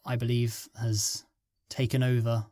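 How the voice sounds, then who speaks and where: A man's voice: medium pitch, little pitch movement, a little slow, dark tone, dry recording, studio quality. A man being interviewed as a scriptwriter, answering hesitantly and restarting phrases. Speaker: guest, scriptwriter.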